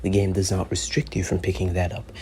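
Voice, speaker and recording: A man's voice: deep voice, monotone, a little fast, mellow, slight background noise. A teenage boy reviewing a mobile shooter as a YouTuber, rambling and joking, with plenty of profanity. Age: teens. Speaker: gaming YouTuber. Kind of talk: gameplay review commentary.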